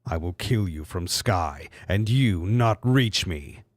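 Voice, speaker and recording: A man's voice: sits low, expressive, takes it slowly, dry recording, clean studio sound, voice pushed down, full character voice. Man putting on a pompous menacing creature villain. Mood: gloating menace. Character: menacing villainous Pokemon clone, gloating and vengeful. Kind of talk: mock-dramatic fanfiction reading.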